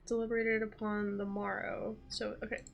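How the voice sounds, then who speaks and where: A woman's voice: medium pitch, flat delivery, normal speed, creaky, faint music behind. A woman streaming a video game with casual, playful, reactive live commentary.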